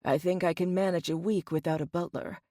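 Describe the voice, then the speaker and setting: A woman's voice: lowish voice, steady tone, brisk, dark tone, dry recording, studio quality, natural voice. A woman voicing a composed, teasing man of means in an audiobook. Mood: gently teasing.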